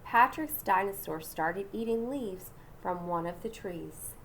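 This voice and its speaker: Slow, very expressive woman reading aloud. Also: medium pitch, slight background noise, low-quality recording. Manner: dramatic, engaging listeners with questions and asides. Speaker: storybook reader. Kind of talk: expressive children's read-aloud with asides to young listeners.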